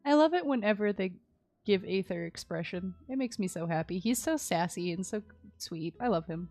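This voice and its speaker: A woman's voice: medium pitch, lively intonation, moderate pace, a little mellow, faint music behind. A woman livestreaming a game with casual, gushing, giggly and slangy commentary.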